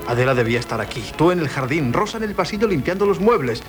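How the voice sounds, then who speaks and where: An actor playing a flustered, scheming husband in a 1970s radio comedy, stammering and insistent, with a Spanish accent from Spain. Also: male voice, fairly high pitch, very animated, fast, music in the background. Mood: exasperated. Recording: noisy 1970s radio broadcast.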